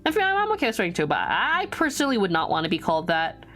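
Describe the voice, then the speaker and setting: A woman's voice: medium pitch, huge pitch swings, fast talker, fairly bright tone, soft background music. A young woman streaming while she draws, chatting casually with viewers in a giggly, rambling way. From Vietnamese family, born outside Vietnam. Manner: chatty and giggly, lots of restarts and fillers.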